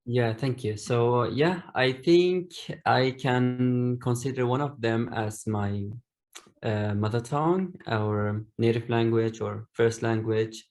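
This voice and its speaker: A young man whose first language is Domari, speaking carefully and with many pauses as a panelist on a video call. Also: mid-range voice, darkish tone. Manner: slow, careful, pausing often. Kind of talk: online panel discussion.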